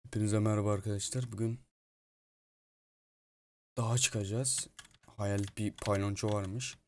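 A man with a fairly low voice hosts a game roleplay video. He improvises comic commentary and swears freely. Faint noise and computer clicks sit behind him. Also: fairly even intonation, normal speed, darkish tone. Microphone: gaming mic at a computer. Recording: constant noise with keyboard and mouse clicks.

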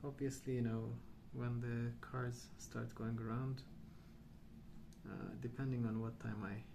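Soft spoken guy doing asmr rambling, gravelly fry, pretty flat pitch, musing along. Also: noisy background. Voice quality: soft, hushed, at times near whisper. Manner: unhurried, hesitant, musing. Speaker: host of a colouring ASMR channel. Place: indoors at a wooden table.

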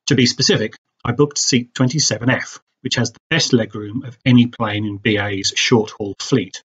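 A man's voice: mid-range voice, fairly even intonation, moderate pace, fairly bright tone, slightly rough, rough recording. A middle-aged British man reading a scripted travel vlog voice-over with dry, wry understatement. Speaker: travel vlogger.

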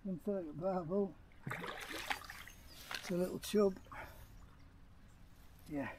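Cheerful high-voiced older man fishing. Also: slow, background noise. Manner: cheerful, chatty, talks to himself while fishing. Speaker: angling vlogger. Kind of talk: casual running commentary while fishing. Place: outdoors on a riverbank. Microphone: action camera mounted on the bank.

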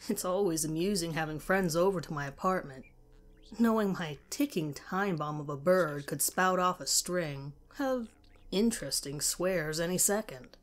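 A woman's voice: fairly low pitch, moderate pace, soft background music. A woman reading a scripted first-person story as a narrator.

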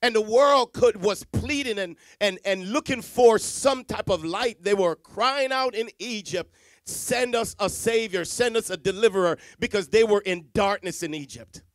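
A middle-aged man from Jamaica preaches with a high voice, recorded dry and close on a handheld mic. He drops to near whispers, then climbs back up with repeated lines for emphasis. The sound has little room to it despite the church stage. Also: moderate pace, no echo. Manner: dramatic swings from hushed to loud, repetitive emphasis. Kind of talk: sermon. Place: church stage with Christmas decor, indoors. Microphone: handheld microphone.

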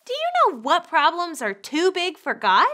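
A young woman with a very high, bright voice hosts a children's church show, bubbly and exaggerated as she talks to the kids. Her pitch leaps around as she speaks unhurriedly, with soft music faintly underneath. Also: wildly swooping pitch, normal speed, a little hiss or hum. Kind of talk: children's program hosting. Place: studio set.

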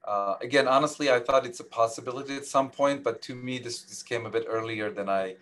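A man's voice: medium pitch, fairly quick, a bit bright. A man who grew up in Lebanon with Armenian as his first language, speaking modestly over a video call from an office, with many restarts and fillers. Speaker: Nobel laureate guest. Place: office with large window. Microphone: video call webcam.

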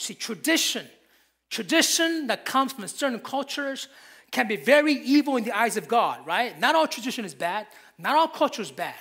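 A man's voice: extremely high pitch, creaky, sharp tone, husky. A man, preaching a sermon from a church pulpit with emphatic, repeated phrases. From Korea. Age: 50s. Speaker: pastor.

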